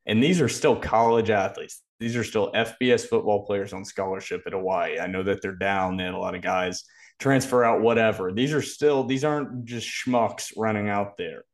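A man co-hosting a sports podcast, giving measured, detailed football analysis in a casual preview style. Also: fairly low pitch.

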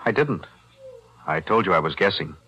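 A measured shrewd attorney, very deep bright voice, old radio drama. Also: male voice, slightly rough, lo-fi sound, exaggerated voice. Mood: calm. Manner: calm, measured, deliberate. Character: calm shrewd lawyer. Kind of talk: 1940s radio drama acting. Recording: 1940s radio broadcast.

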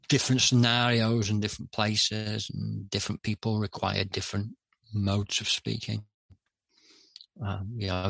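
A middle-aged man speaking candidly as a podcast guest, hesitant with many pauses and restarts. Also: on the low side, creaky, fairly bright tone, no echo, rough recording. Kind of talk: candid interview talk.

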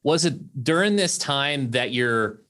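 A man's voice: medium pitch, animated, slow pace, a bit bright. A man hosting a podcast, moving between scripted narration and interview talk. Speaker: podcast host.

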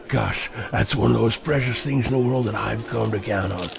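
A man's voice: fairly low pitch, moderate pace, creaky, noisy background. An actor playing a chatty, folksy older man, a wry and self-mocking regular at the movie theater. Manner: folksy, rambling, chuckling.

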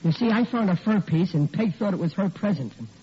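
An actor playing a bumbling, blustering American husband who gets flustered and stammers, in 1940s radio sitcom style. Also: male voice, sits high, expressive, brisk. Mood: sheepish. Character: bumbling, blustering, sheepish working-class husband. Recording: dull, hissy vintage radio recording.

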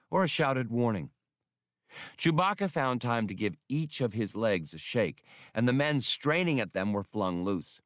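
Animated male audiobook narrator, lower-pitched. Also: dry recording, clean studio sound. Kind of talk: scripted dramatic narration.

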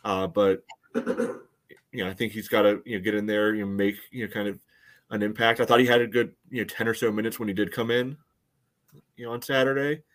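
A man giving casual sports analysis on a podcast in a measured, hedging, conversational way. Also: fairly low pitch, level tone, a bit bright.

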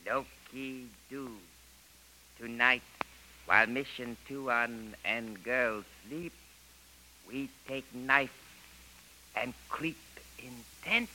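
An actor playing a servile but treacherous native jungle guide in an old radio serial, speaking clipped broken pidgin English on a hissy recording. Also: male voice, medium pitch, extremely slow, pauses often, sharp tone, heavily acted, rough recording. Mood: conspiring. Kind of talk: 1930s radio serial acting.

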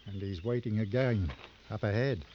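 An actor in an old radio drama playing a weary, sardonic big game hunter with a gruff, brooding manner. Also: male voice, on the low side, normal speed, lightly acted. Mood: grim, subdued. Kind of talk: 1940s-60s radio drama acting. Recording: dull, noisy old radio recording.

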